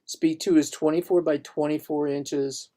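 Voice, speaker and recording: A man's voice: fairly high pitch, fairly even intonation, moderate pace, mellow. A man, a painter, casually walking viewers through his own online exhibition, rambling and thinking aloud with restarts. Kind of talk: casual live gallery tour.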